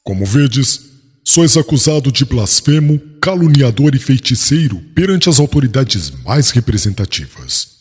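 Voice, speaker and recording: An actor playing a haughty, harsh young doctor of the law who interrogates formally and pompously in a dramatized audiobook. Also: male voice, mid-range voice, sing-song, a little slow, husky. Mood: haughty, accusing. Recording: long hall reverb, faint music behind.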